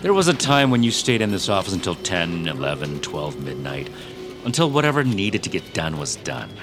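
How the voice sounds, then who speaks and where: An actor playing a self-important, manipulative tech CEO, smoothly condescending with theatrical pauses, in an audio drama. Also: male voice, deep voice, big pitch swings, over music. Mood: quietly menacing.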